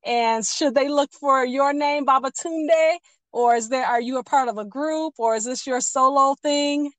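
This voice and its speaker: A woman's voice: high voice, measured pace. A woman hosting a radio interview, addressing listeners and encouraging her guest by echoing answers back. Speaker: radio show host.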